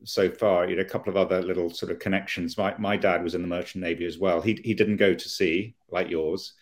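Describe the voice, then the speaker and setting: A man raised in Kenya with a posh Southern English accent, talking thoughtfully as a guest with many halting restarts. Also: low voice, steady tone. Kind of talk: conversational interview.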